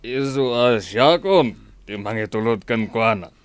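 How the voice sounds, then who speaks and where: A man's voice: medium pitch, a little slow, clear, resonant tone, no echo, lightly acted. A man acting a stubborn, defensive, grumbling husband in an Ilocano radio drama.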